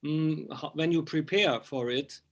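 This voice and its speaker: Male interviewer, middle-aged, German accent. Slightly high voice, hesitant and wordy, informal. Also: slight background noise. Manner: halting, wordy non-native phrasing. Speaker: interviewer, magazine publisher.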